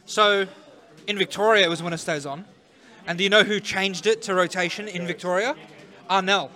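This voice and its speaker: A man's voice: sits high, fairly even intonation, moderate pace, crowd noise. A man co-hosting a live podcast, telling gaming stories with swearing and laughter. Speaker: podcast co-host. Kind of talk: casual banter. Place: live event venue.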